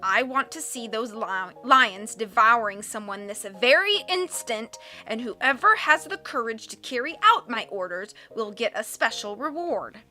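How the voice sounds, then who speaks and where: A woman's voice: a little high, animated, unhurried, few pauses, bright, forceful tone, faint music behind, heavily acted. Woman putting on a commanding villainous count. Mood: roaring, scolding. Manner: commanding, scolding. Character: tyrannical villainous count, impatient man.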